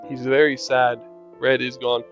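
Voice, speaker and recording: A man's voice: mid-range voice, flat delivery, unhurried, a bit bright, muffled recording, faint music behind. A man with a mid-range voice narrates a video game guide. His delivery is informal and conversational, addressed straight to the viewers as he walks them through the gameplay. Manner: informal, talking directly to viewers. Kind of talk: casual video game walkthrough commentary.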